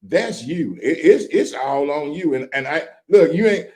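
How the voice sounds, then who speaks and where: A man with a slightly higher voice hosts a streamed talk show over a video call. He talks loosely and folksily and slips in jokes. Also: unhurried. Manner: relaxed, folksy, jokey. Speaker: host. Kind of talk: casual chat.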